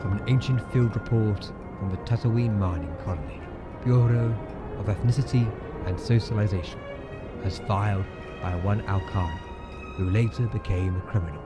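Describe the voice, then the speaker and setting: Man as low solemn narrator over loud music. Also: close to an everyday voice. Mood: solemn, ominous. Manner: solemn, ornate, deliberate phrasing. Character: grave narrator of an ancient alien-desert field report. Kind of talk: dramatic scripted narration. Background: loud music and effects.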